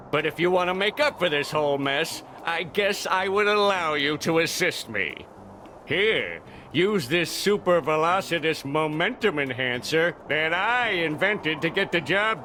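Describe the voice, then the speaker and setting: A tiny cartoon villain inventor, pompous and scheming. The voice is forceful and theatrical, swinging widely in pitch. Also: male voice, expressive, a little slow, a little hiss or hum. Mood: irritated, proud. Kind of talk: cartoon game acting.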